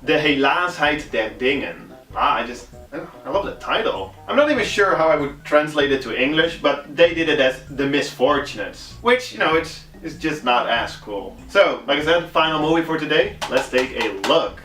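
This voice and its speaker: A young Belgian man whose first language is Dutch, casually recommending movies in a halting, animated way with frequent restarts. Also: fairly high pitch, soft background music.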